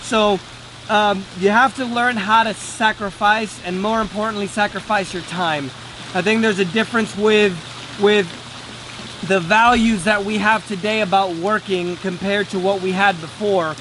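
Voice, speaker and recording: High-pitched man over loud background noise. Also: steady tone, measured pace. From Venezuela. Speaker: day trader and trading teacher. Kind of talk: casual motivational talk to camera.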